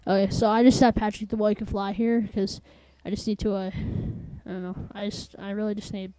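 A woman presenting a casual software tutorial in a halting, informal, offhand way. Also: medium pitch, little pitch movement, no room sound, low-quality recording.

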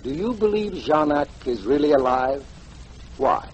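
An actor playing an inquisitive police inspector putting probing questions in a 1940s radio mystery. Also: male voice, on the high side, very animated, unhurried, a little mellow, slightly rough, full character voice. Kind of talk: 1940s radio drama acting. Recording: dull, lo-fi 1940s radio broadcast.

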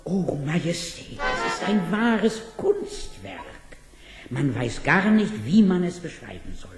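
An actor playing an obsequious, effusive royal minister in a fairy-tale audio drama. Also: male voice, sits high, big pitch swings, slow pace, over music, heavily acted. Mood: effusive, servile. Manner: effusive, deferential. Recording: roomy old cassette, faint noise.

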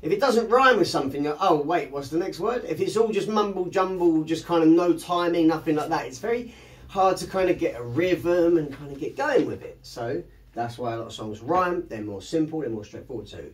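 A man's voice: fairly high pitch, animated, brisk. A man in his thirties giving a casual songwriting lesson, chatty, rambling and encouraging. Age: 30s. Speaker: music teacher. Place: small home music studio, indoors. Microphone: camera across the room. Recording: distant room camera mic.

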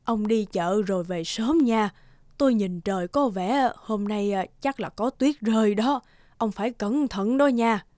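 A woman playing a kindly, fretful old village wife near seventy in a cartoon folktale, gentle and fussing. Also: medium pitch, unhurried, dry recording, clean studio sound, lightly acted. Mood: caring, cautioning. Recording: clear music underneath.

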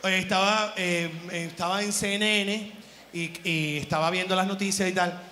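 A man's voice: high voice, fairly even intonation, normal speed, pushed and bright, slight background noise. A man, doing rambling stand-up comedy on a theater stage with a handheld mic, jumping into exaggerated put-on quotes. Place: large theater stage with live audience.

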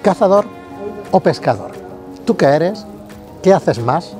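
A man's voice: high voice, lively intonation, slow pace, over music. A man giving a casual photography lesson to viewers while standing on a city street, speaking directly to the camera and talking his way through his ideas. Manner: explanatory, addresses viewers directly, frequent "vale" and fillers. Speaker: photography vlogger. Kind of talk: casual instructional vlog on location.

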